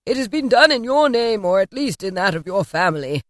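A woman reading an audiobook and voicing an old male miser who asks hesitant, halting questions. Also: mid-range voice, sing-song, fairly bright tone, dry recording, studio quality. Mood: questioning. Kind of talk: audiobook character voice.

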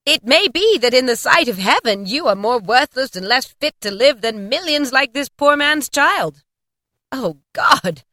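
A woman voicing a solemn male Christmas ghost who rebukes a miser gravely. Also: high voice, animated, measured pace, bright, forceful tone, no echo, studio quality, pitched above the performer's own voice, full character voice. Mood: rebuking. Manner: grave, reproachful, declaiming.

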